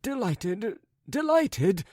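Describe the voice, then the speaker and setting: A man voicing a foolish gentleman in a raccoon coat who is flustered, stammers and repeats himself. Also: sits high, sing-song, very slow, no echo, studio quality, pitched above the performer's own voice, heavily acted. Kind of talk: audiobook character voice.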